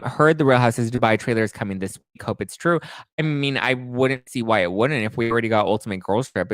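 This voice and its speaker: A man's voice: on the low side, a bit bright, dry recording. Chatty young man answering live chat. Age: 20s. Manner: chatty, candid, reads viewer comments aloud and answers them. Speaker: podcast host reading live chat. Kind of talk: casual live-stream chat.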